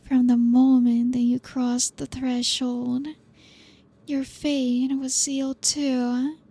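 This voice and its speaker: A young woman voicing a possessive, ancient female vampire in an ASMR roleplay, breathy and whispery, teasing slowly with soft laughs. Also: high-pitched, little pitch movement, full character voice.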